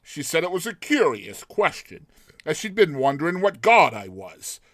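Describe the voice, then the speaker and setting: A man acts out a lovestruck young man from a classic storybook, effusive and impatient. The voice is fairly high and swings widely in pitch. Words come with bright, dramatic emphasis. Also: very animated, moderate pace, dry recording, studio quality. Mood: rapturous, insistent. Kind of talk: dramatized short story reading.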